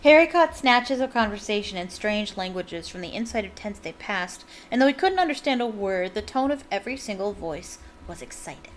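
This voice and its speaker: A woman's voice: mid-range voice, lively intonation, moderate pace, hardly any pauses, a little hiss or hum. A young adult woman reads a novel aloud for her fan channel. She keeps an easy, chatty tone and drops into giggly, enthusiastic asides between passages. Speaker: book reader and fan channel host.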